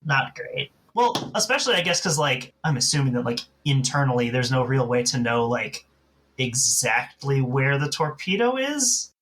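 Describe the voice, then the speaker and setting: Man, bright forward voice, mid pitch, casual discussion, loose discursive phrasing. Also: very animated, normal speed. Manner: hesitant, discursive phrasing with frequent "like". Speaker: podcast co-host.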